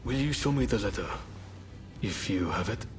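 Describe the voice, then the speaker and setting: A man acting a grave, suspicious man of authority in a video game, speaking in a brooding, deliberate way. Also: on the low side, expressive, faint music behind, close to an everyday voice. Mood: guarded.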